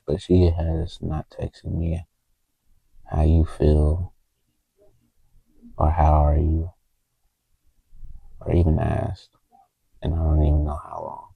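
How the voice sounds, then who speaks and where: A man recording a heartfelt, halting confessional vlog on a handheld phone in a small closet, with a TV faintly audible from the next room. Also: a bass voice, vocal fry, husky, dry recording, narrow, tinny audio. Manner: halting, heartfelt, stops often to find words. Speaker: vlogger. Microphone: handheld phone camera. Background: faint TV music from the next room.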